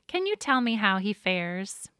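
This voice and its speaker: A woman's voice: medium pitch, sing-song, a little slow, pushed and bright, smooth, no room sound, studio quality. A woman voicing a kind, anxious young girl in a storybook, pleading politely for news of her father. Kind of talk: storybook character voice.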